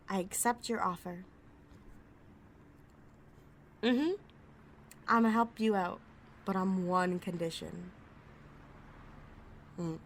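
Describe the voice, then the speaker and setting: Woman playing a cocky, sarcastic female thief, noisy recording. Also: medium pitch. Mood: bold, bargaining. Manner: sassy, sarcastic, foul-mouthed. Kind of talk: ASMR roleplay audio drama.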